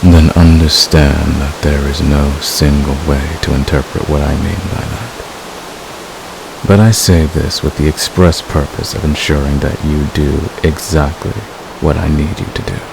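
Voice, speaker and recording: A man playing a devoted, manipulative villain in a romantic ASMR roleplay, speaking with deliberate, possessive intensity. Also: fairly even intonation, exaggerated voice. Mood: menacing, possessive. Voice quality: very deep, gravelly. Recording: clear background hiss.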